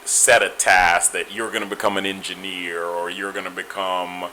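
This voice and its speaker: A man with a Caribbean accent talks in a very low yet bright, ringing voice. He answers interview questions in a thoughtful, measured way, often restarting a phrase and adding "you know." A faint hum runs underneath. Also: level tone, rough recording. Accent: Caribbean, Guyanese and Trinidadian. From Guyana. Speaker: interview guest.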